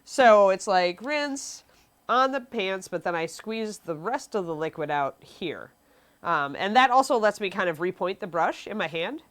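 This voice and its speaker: A woman's voice: mid-range voice, expressive, measured pace. A sniffly young woman, stuffy from allergies, giving a chatty live painting tutorial and answering chat questions. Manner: chatty, reads chat questions aloud, frequent ums. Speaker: miniature painting instructor.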